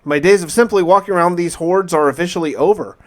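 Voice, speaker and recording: Man, fairly high-pitched, gameplay narration, in-character diary recap, casual, dramatic storyteller, wry asides. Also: quick pace. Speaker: gamer narrating a survival challenge.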